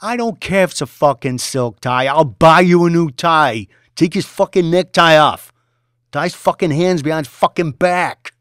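A volatile young boss who bellows and curses, voice jumping high and wide, as a male storyteller puts him on. His tone swings wildly from line to line, and the recording is close and dry with no room sound. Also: very animated, normal speed, dry recording. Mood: raging. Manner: shouting, swearing, aggressive New York street talk.

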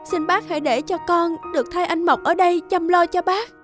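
Devoted young woman, very high voice. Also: brisk. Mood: sorrowful, earnest. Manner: earnest, pleading, respectful. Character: devoted, gentle young village woman, pleading. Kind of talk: animated folk tale voice acting. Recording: loud music behind the voice.

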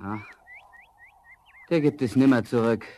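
A man's voice: mid-range voice, unhurried, warm tone, natural voice. A man playing a desperate, defiant young charcoal burner in a fairy-tale audio drama, emotional and theatrical. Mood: pleading.

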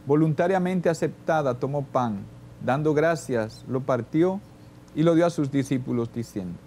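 An older man, a priest, reciting the Mass prayers at the altar in a solemn, measured way. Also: a little high, mellow, slight background noise. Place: church sanctuary, at the altar.

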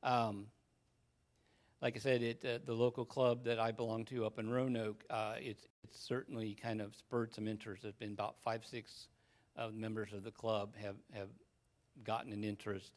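Man, fairly low pitch, informal conference presenter, halting, casual delivery. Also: little pitch movement. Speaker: conference speaker, amateur radio hobbyist. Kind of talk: informal technical presentation, wrapping up. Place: conference room, speaking to an audience.

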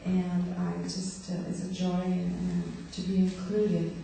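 A middle-aged woman, soft-spoken and hesitant with many fillers, introducing a guest at a chapel service from a podium. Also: lowish voice, monotone, slow, vocal fry. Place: hall stage with curtains. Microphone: podium mic, far camera. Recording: echoey hall from far off, faint noise.

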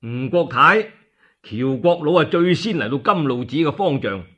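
Animated, husky Cantonese male storyteller. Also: fairly high pitch, normal speed. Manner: animated, chatty asides to listeners. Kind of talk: dramatic colloquial radio storytelling. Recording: dull lo-fi radio recording.

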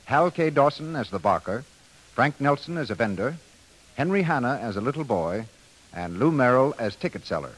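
A man announcing on 1930s radio in a formal, measured, scripted reading style. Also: medium pitch, slightly rough, poor audio.

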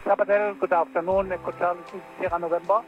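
A man's voice: sits high, flat delivery, quick pace. A man making brief pilot calls in radio phraseology over aviation radio. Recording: tinny aviation radio, music behind.

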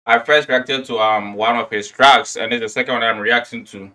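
A young man with a Ghanaian accent, giving animated, slangy commentary as host of a casual music reaction. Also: medium pitch, moderate pace. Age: young adult. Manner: animated, slangy, repeats lyrics. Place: small furnished room at home. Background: some hip hop music.

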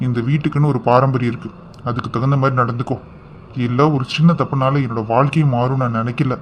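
A stern young husband in a family melodrama acted by a man, speaking quickly. He is reproving and disappointed. The recording is dull, with faint noise behind him. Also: mid-range voice, fairly even intonation, close to an everyday voice. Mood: bitter, disappointed.

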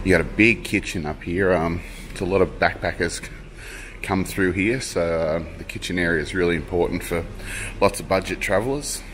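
A man's voice: deep voice, moderate pace. A man, casually narrating a travel vlog and listing features one by one. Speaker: travel vlogger. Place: hostel interior and city street outdoors. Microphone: handheld camera at arm's length. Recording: steady background noise.

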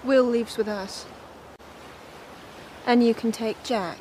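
A woman's voice: fairly high pitch, steady tone, slow. An actress playing a bold, commanding young female pirate leader in an audio storybook drama, declaiming her lines. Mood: firm. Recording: loud background noise.

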